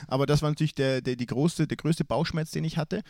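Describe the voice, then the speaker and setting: A man's voice: a little high, fast, darkish tone, no room sound, clean studio sound. A man with a cold, answering interview questions about his club's coach hesitantly, with many fillers and restarts. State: has a cold. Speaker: football club sporting director.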